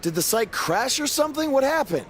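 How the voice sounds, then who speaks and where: A frustrated, determined male wrestler in a video game scene. His voice sits high and swings expressively, sounding dry and exasperated, with clear background noise. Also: sing-song, fairly quick. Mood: outraged. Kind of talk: video game cutscene acting.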